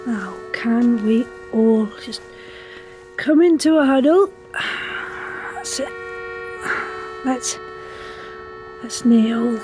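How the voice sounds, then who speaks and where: A solemn female ritual leader with a fairly high voice. She gives instructions slowly and haltingly, with grave care. Clear music runs behind this audio drama performance. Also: lively intonation, low-quality recording. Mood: careful, coaxing. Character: solemn woman leading a hare transformation spell ritual.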